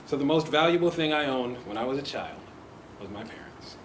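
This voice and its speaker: A man giving a personal storytelling speech at a club meeting, lively and laughing often, then leading questions with a teasing tone. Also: mid-range voice, a little fast. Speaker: club speaker and table topics master. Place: echoey meeting room. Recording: echoey room with background noise.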